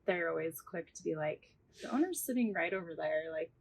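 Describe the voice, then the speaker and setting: Gravelly-voiced woman, candid bar owner. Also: fairly low pitch, animated, fairly quick, a little hiss or hum. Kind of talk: casual chat.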